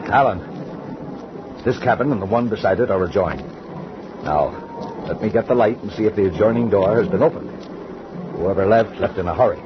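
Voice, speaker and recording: A man playing a gruff old-time ship's captain. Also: on the low side, a little fast, long pauses, close to an everyday voice. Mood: urgent. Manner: brusque, commanding. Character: gruff middle-aged male ship's captain. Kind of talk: 1940s radio acting. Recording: dull noisy old radio recording.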